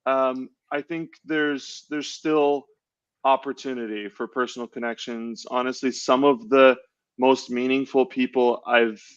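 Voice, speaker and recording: A man's voice: mid-range voice, fairly even intonation, a little slow, clean tone, no room sound. Man, dull lo-fi call audio. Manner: enthusiastic, rambling with many fillers and restarts. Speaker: podcast co-host. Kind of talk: casual podcast chat.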